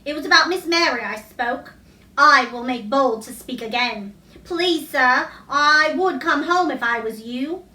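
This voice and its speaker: A woman's voice: sits high, animated, unhurried, sharp tone, heavily acted. A woman reading a storybook, voicing a plain, humble countrywoman's earnest and respectful letter. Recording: echoey room, distant mic.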